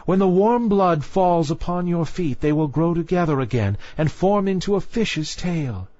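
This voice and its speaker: A man's voice: a little high, animated, normal speed, warm tone, no echo, lo-fi sound, pitched above the performer's own voice, close to an everyday voice. A man voicing mournful young mermaid sisters, pleading urgently in a storybook reading. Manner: urgent, imploring. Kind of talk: storybook narration dialogue.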